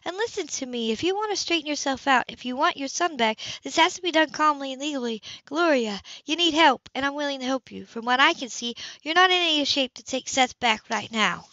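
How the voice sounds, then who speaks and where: A woman's voice: sits high, expressive, brisk, hardly any pauses, bright, forceful tone, no room sound, dull audio, pitched above the performer's own voice, full character voice. A woman voicing a controlled, exasperated sister who speaks in a firm, clipped, insistent way. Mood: urgent, exasperated.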